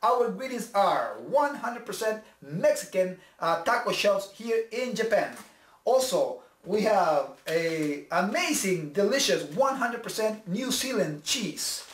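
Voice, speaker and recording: A man's voice: high voice, sing-song, slow, a bit bright. A man in his thirties hosting a homemade cooking show in a small kitchen, with showman patter and switching between two languages. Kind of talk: bilingual cooking vlog. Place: small home kitchen, indoors. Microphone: camera mic, several feet away. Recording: echoey kitchen with faint music.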